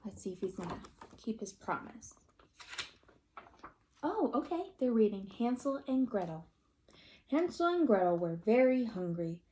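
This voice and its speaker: A woman's voice: fairly high pitch, unhurried, pauses often, a little hiss or hum. A woman reading a picture book aloud expressively, with questioning asides to young listeners. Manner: expressive, addresses children with questions. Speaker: read-aloud storyteller.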